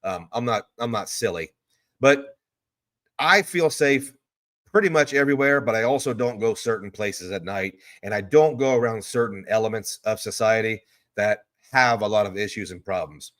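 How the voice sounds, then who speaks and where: A man's voice: on the low side, normal speed, a bit bright. A man, hosting a live stream from a hotel room. He rambles casually and answers viewer questions one after another.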